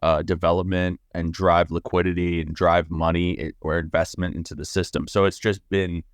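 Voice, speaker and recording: Very deep-voiced male podcast host. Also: flat delivery, moderate pace, dry recording, clean studio sound. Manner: loose, rambling questions. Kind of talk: casual interview hosting.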